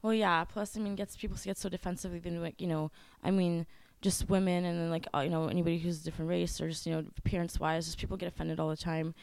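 A young woman, Ukraine-born and raised in the Bay Area, talks quickly as a podcast guest. Her fairly low voice is smooth and clear but has a gravelly edge. Her easygoing chatter is packed with "you know" and "like.". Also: level tone, a little mellow, dry recording. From Ukraine, raised in the San Francisco Bay Area. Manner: fast, easygoing, full of fillers like "you know". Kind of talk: casual interview chat.

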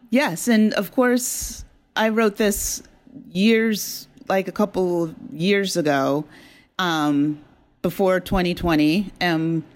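Lower-voiced woman, halting and thoughtful. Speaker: audio drama writer, interview guest. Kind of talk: casual conversational interview.

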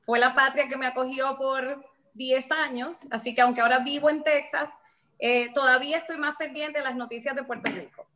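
A woman's voice: sits high, steady tone, normal speed, pushed and bright. A woman with a Dominican accent chatting casually as an interview guest. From Dominican Republic. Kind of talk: casual chat.

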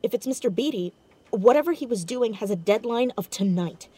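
An actress playing a determined young amateur sleuth in an audio drama, urgent and earnest. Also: female voice, on the high side, expressive, brisk, warm tone, slightly rough. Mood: urgent, resolute. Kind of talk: scripted audio drama dialogue.

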